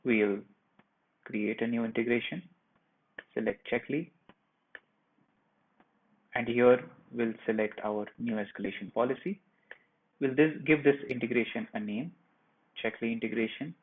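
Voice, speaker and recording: A man presenting a software tutorial, narrating each step of a screen share in a plain, instructional way. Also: medium pitch, vocal fry. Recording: muffled phone-like narrow band.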